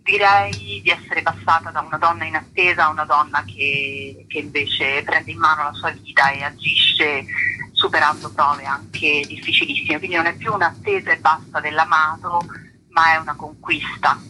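Bright-voiced woman explaining artwork. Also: deep voice, little pitch movement, moderate pace, slight background noise, rough recording. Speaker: artist and painter interviewed about their work. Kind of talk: reflective interview explanation.

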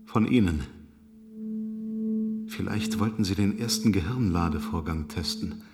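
An actor playing a grave male space crew member in a radio play, speaking slowly and emphatically. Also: low voice, over loud music. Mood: grave, mysterious. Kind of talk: radio drama dialogue.

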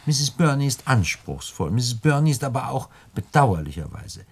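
An actor in a German radio play voicing a genteel, scheming crook who talks in ornate, condescending phrases with deliberate hesitations. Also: male voice, medium pitch, big pitch swings, breathy edge. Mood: smugly explaining. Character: genteel, wordy, scheming male crook, smugly condescending mastermind.

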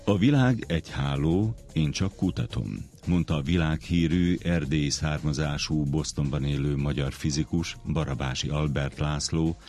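A man presenting a radio programme, reading a scripted introduction in a measured announcer's style. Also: rumbling low, a little mellow, faint music behind.

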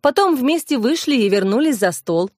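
A woman's voice: fairly high pitch, expressive, moderate pace, a little mellow, dry recording, studio quality. A woman narrator voicing a defensive society wife who explains herself insistently. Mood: explaining.

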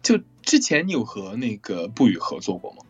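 A man's voice: on the low side, very animated, brisk. A man hosting a casual, playful interview, recorded with dull lo-fi sound and music playing behind him. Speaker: interview host and voice actor. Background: background music.

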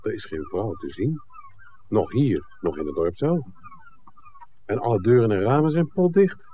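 Observant man, very animated intonation. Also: medium pitch, moderate pace. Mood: observant, puzzled. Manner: conversational, alert, speculating. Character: observant male film-maker, discreet outsider in village. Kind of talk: 1960s radio play acting. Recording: tinny, hissy 1960s radio recording.

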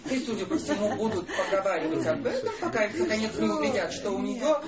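An actress playing a catty, knowing society gossip in a radio play. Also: female voice, mid-range voice, sing-song, fast, close to an everyday voice. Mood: disdainful. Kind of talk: theatrical radio play acting. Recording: muffled, echoey, noisy crowd behind.

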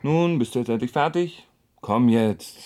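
An actor playing a concerned, gently impatient companion in a radio play, speaking in a familiar, questioning way. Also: male voice, medium pitch, a little slow, close to an everyday voice.

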